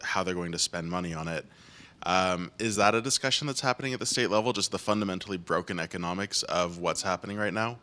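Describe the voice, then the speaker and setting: A man with a very low voice that still sounds bright and forceful asks a question from the audience. He speaks in a measured way and pauses on "um". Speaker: audience member asking a question.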